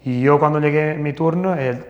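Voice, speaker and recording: A man's voice: a little high, moderate pace, dull audio. Man telling stories, hesitant. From northern Portugal. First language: Portuguese. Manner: hesitant, many restarts and fillers, animated anecdotes. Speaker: plastic surgeon guest. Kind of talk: casual interview storytelling. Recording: long hall reverb.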